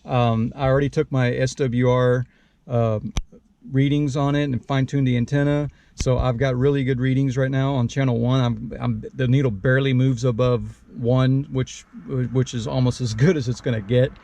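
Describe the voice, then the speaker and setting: A man's voice: medium pitch, little pitch movement, normal speed, vocal fry, dark tone. A man giving a casual, unscripted product walkthrough as a channel host, in a hesitant, rambling way with frequent repeated words.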